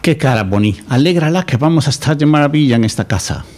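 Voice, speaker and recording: Man playing a lively, effusive foreign boyfriend. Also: mid-range voice, fast talker, soft background music, close to an everyday voice. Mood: coaxing. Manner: effusive, sprinkles English endearments into Spanish. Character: affectionate, upbeat Scottish boyfriend on holiday. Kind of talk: audio drama acting.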